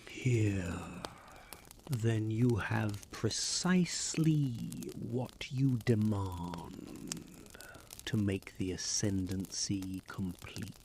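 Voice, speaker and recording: A man playing a cool, analytical detective in an audio drama, speaking with deliberate precision. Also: fairly low pitch, darkish tone, slight background noise. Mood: coolly analytical.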